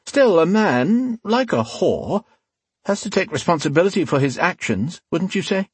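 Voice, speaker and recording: A man voicing a wry, quietly threatening rogue in an audiobook, unhurried and mock-polite. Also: high-pitched, big pitch swings, husky, no echo, pitched above the performer's own voice, exaggerated voice. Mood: quiet threat. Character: cool, menacing male rogue with dagger, wryly amused. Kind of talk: dramatic audiobook character voice.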